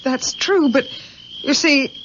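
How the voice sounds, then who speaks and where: A mysterious, unhappy young woman, speaking in halting, imploring 1970s radio drama style. Her voice is high and desperate. The recording is dull, with faint noise behind it. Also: fairly bright tone. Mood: sorrowful. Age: young adult.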